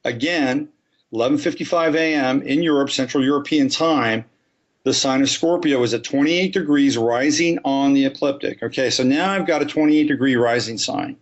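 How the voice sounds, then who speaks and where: A man's voice: mid-range voice, moderate pace, highs cut off. A man, an astrologer guest on a podcast, explaining his subject methodically and step by step. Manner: methodical, talks through examples step by step.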